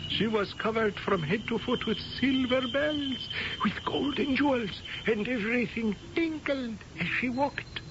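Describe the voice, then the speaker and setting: Reverent storyteller servant in a radio play, solemn n high pitched, noisy muffled old recording. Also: male voice. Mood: awed storytelling. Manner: solemn, measured, archaic storytelling. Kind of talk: radio drama acting.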